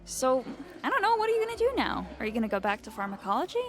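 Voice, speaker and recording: Very high female voice, lively intonation, blunt and nosy friend,, comedy audio drama. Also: racing, loud music behind the voice. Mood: curious. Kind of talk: naturalistic comedy podcast acting.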